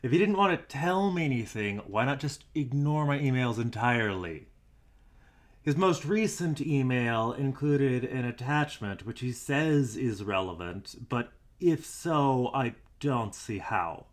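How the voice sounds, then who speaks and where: Male actor as weary investigator, audio drama log, musing, hesitant, dryly skeptical, medium-pitched voice. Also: expressive, a little slow, lightly acted. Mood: frustrated, puzzled. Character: weary, doubtful male investigator recording private audio logs. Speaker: investigator and show host character.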